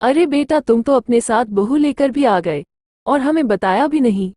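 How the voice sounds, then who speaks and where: Woman playing a fond, mellow-toned mother. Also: on the high side, lively intonation, normal speed, no room sound, clean studio sound. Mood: surprised. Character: anxious, fond mother of grown sons. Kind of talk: acted dialogue in a moral story cartoon.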